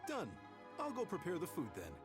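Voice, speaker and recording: A jovial restaurant chef greets guests and boasts of his craft with a fairly high, lively voice. Game music plays behind him. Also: moderate pace. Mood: cheerful. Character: proud restaurant chef, middle-aged man. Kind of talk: video game voice acting.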